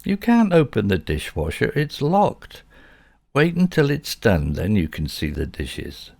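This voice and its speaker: Calm, gentle storybook pet owner, slightly raised pitch, lively, read by a male narrator. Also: unhurried, creaky, no echo, studio quality, exaggerated voice. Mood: soothing. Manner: soothing, gentle.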